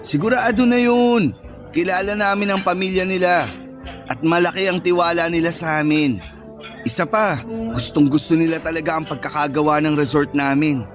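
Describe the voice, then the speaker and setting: An actor as a cocky heir of thirty wooing his girlfriend in a Filipino radio drama. His voice is on the high side, eager and coaxing, full of sweet talk. Music runs behind him. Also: fairly even intonation, moderate pace, natural voice. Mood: persuasive, boastful. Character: eager, boastful thirty-year-old heir, sweet-talking suitor. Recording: background music under the voice.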